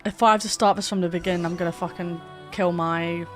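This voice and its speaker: A woman's voice: fairly low pitch, fairly even intonation, quick pace. A woman livestreamer chatting casually while gaming, with a sweary, joking and rambling manner. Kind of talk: casual game stream chat. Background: game music.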